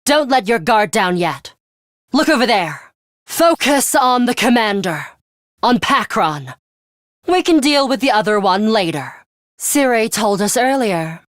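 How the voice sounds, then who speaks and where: Game role: stern, aloof young female fighter, cool and commanding, very measured pace, close dry sound. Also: on the high side, very animated, no room sound. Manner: curt, cool, deliberate, commanding. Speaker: voice actress. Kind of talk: scripted video game character lines.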